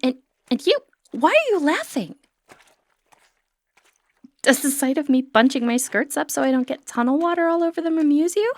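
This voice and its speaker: A woman playing a sly, confident, flirty thief in a fantasy roleplay, playful and cheeky with breathy asides. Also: high-pitched, animated, moderate pace, pauses often, darkish tone, dry recording. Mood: teasing. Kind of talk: scripted fantasy ASMR roleplay, addressing the listener.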